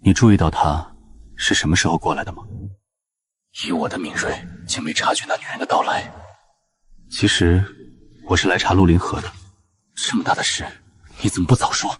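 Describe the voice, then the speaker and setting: A man playing a guarded, dramatic low-voiced man. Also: brisk, pauses often, fairly bright tone, breathy edge, full character voice. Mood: stern, wary. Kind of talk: Chinese audio drama voice acting.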